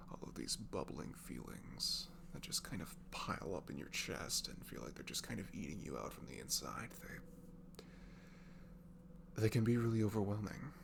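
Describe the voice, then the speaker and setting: Low, very gravelly young man, tenderly reassuring. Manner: soft, tender, reassuring, often dropping to a whisper. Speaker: amateur audio performer playing a comforting friend. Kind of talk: improvised intimate ASMR comfort voice message. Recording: clearly noisy home recording with hum.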